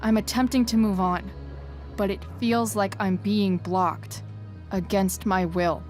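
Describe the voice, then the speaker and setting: A woman plays a rebellious teenage girl in a naturalistic game drama. She talks slowly and haltingly, with guarded, sarcastic slang. Music plays behind her. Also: mid-range voice, steady tone, natural voice. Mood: careful, placating. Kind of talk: naturalistic video game voice acting.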